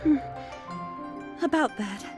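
Refined, expressive young gentlewoman. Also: sits high, takes it slowly, music in the background. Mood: hesitant. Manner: polite, formal. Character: refined, earnest young gentlewoman from trading family. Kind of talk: video game voice acting.

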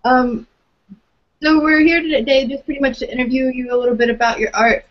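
A woman's voice: sits high, fairly even intonation, fairly quick. Young woman hosting over webcam. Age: young adult. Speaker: podcast co-host. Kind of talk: casual interview over video call. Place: small room indoors. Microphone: webcam on video call. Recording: dull lo-fi webcam audio.

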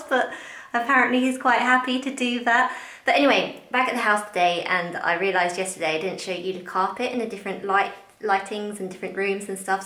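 A woman's voice: a little high, a bit bright. A British woman in her thirties chatting casually and informally to camera as a home vlogger, rambling and correcting herself as she goes. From United Kingdom. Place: bare rooms of a house under renovation, indoors. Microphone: handheld camera at arm's length. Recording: echoey empty rooms.

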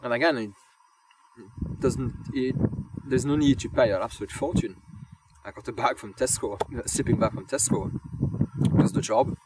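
A man, a hobby wild camper, thinking aloud in relaxed interview answers, pausing with lots of ums and restarting his sentences. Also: mid-range voice, heavy background noise. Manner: hesitant, many ums and restarts. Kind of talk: casual reflective interview answers. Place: outdoors on moorland. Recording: distant voice under loud music.

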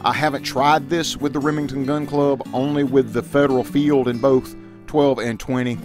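A man's voice: mid-range voice, little pitch movement, normal speed, over music. Folksy middle-aged man. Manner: folksy, addressing viewers directly. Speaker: outdoors and shooting vlogger. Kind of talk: casual how-to vlog talk.

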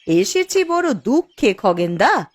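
A woman's voice: sits high, sing-song, slow, no echo, clean studio sound, heavily acted. A woman voicing a discontented, ruthless village wife in a cartoon, dramatic and scheming. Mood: bitter complaining. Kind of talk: Bengali fairy-tale cartoon voice acting.

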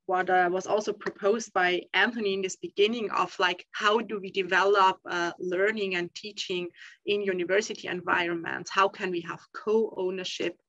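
Austrian lady presenting on a zoom panel, bright voice, lo-fi call audio, lots of ums. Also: mid-range voice, fairly even intonation, normal speed, dry recording. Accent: Austrian German. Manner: thoughtful, many ums and uhs. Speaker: conference panelist. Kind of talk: academic talk over video call. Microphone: video call. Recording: dull lo-fi video call audio.

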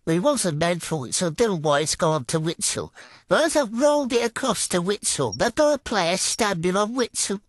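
A man's voice: sing-song, normal speed, no echo, studio quality. A British man with a foul-mouthed, exasperated manner commentates on his own football gaming through a trickster voice filter. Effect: pitch-altering trickster voice filter. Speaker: gamer commentating on own football game play.

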